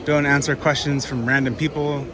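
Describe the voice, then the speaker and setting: A slightly hoarse man talks through a walking tour into a handheld camera. He rambles loosely with plenty of fillers at a middling pitch. Busy street noise runs clearly behind him. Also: little pitch movement, moderate pace, crowd noise. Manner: rambling, thinking aloud with many fillers. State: slightly hoarse, coming down with a cold. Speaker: travel vlogger. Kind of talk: casual walking tour commentary. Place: outdoors on city streets.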